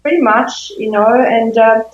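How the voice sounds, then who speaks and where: Swedish-born lady in her 50s chatting in an interview, pauses to think, roomy slightly muffled sound. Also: fairly high pitch. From Sweden. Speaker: interview guest, intimacy coach. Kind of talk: reflective conversational interview.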